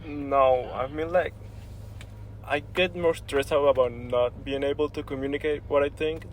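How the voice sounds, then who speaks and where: A man's voice: a little high, fairly even intonation, normal speed, slight background noise, rough recording. A young adult man from Venezuela, a native Spanish speaker, giving brief casual answers as a podcast guest.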